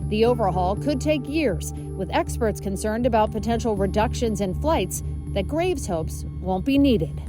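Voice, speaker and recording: A woman's voice: mid-range voice, lively intonation, unhurried, over music. A woman reporter delivering scripted narration for an investigative television news report.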